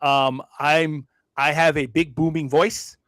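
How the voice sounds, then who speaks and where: Brief: reflective male guest from Brooklyn, slightly higher pitch, dry close sound. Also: level tone, a bit bright, dry recording. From Brooklyn, New York. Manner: measured, self-deprecating, reflective; frequent hedging fillers and restarts. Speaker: podcast guest. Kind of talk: casual interview conversation.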